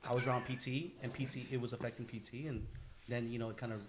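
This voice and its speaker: A man's voice: mid-range voice, flat delivery, fast talker, background noise. A man hosting a podcast, talking casually in slangy, informal conversation with friends. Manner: slangy and informal, says bro and dude. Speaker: podcast host.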